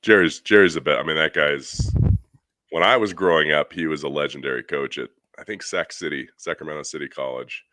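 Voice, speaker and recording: A man in his forties with a very deep, forceful voice, feeling under the weather. He talks in a relaxed, laid-back way, and the sound is close and dry. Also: a little fast, no echo. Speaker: co-host.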